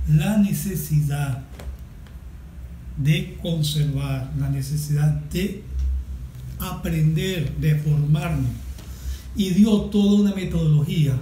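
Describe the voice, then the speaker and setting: Hombre venezolano sesentón, voz suave y aguda. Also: male voice, on the high side, mellow. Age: 60s. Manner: emphatic, deliberate with stressed repetition. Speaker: guest, environmental activist. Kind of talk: panel discussion, impassioned speech. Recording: echoey room with faint background noise.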